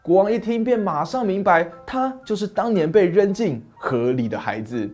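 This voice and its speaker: A middle-aged man tells a fairy tale with dramatic, animated delivery. He is recorded on a lapel mic in a small study, with faint music underneath. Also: sits high, moderate pace. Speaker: storyteller host. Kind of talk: scripted fairy-tale narration. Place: small dim study set.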